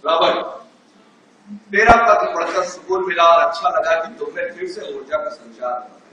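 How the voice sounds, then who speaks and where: A man's voice: fairly high pitch, moderate pace, bright, forceful tone, heavy reverb, slight background noise. An older man on an amateur stage reading letters to an old friend aloud, jovial and teasing, heard from far off in a large auditorium. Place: large auditorium, no sound system.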